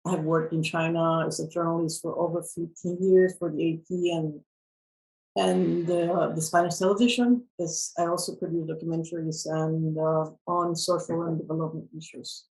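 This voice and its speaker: A woman's voice: low voice, steady tone, a little mellow, rough recording. Woman panelist from Peru. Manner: measured, with fillers. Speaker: panelist, journalist. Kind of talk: panel presentation.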